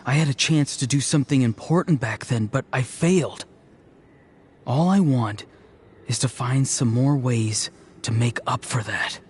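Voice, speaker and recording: A man's voice: medium pitch, a little slow, slight background noise, lightly acted. A man voicing an earnest, self-doubting but determined young hero in a video game, speaking with feeling. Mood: resolute, wistful. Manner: earnest, emotional. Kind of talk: dramatic video game voice acting.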